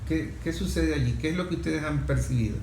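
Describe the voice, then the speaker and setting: A man's voice: a little high, fast, gravelly, a little mellow. Venezuelan man interviewing, echoey room. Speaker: interviewer, host. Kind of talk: conversational interview. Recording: echoey room, faint background noise.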